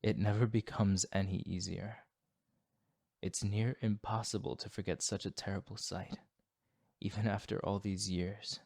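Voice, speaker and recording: A man voicing a remorseful male vampire fighting his bloodlust, in a solemn, hushed, formal ASMR roleplay monologue. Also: on the low side, flat delivery, moderate pace, creaky, no echo, clean studio sound. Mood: self-loathing.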